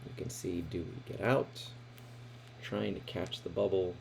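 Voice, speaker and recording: A man reading a children's picture book aloud in an expressive way, with dramatic emphasis. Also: low voice, measured pace, vocal fry, a little hiss or hum. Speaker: storybook reader.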